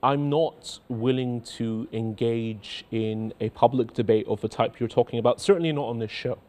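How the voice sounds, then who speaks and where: A man's voice: medium pitch, darkish tone. Earnest man with a faint Scottish accent. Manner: measured, earnest, repeats words when starting sentences. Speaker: cleric answering viewer letters. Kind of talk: studio talk show, reading letters aloud. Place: indoor TV studio.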